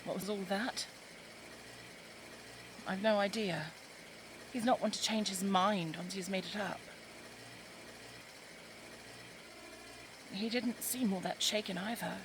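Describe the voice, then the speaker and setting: A woman's voice: mid-range voice, steady tone, unhurried, pauses often, noisy background, lightly acted. A woman playing a headstrong, caring young English daughter in a 1920s audio drama, with well-spoken, formal period phrasing. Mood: puzzled. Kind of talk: scripted audio drama acting.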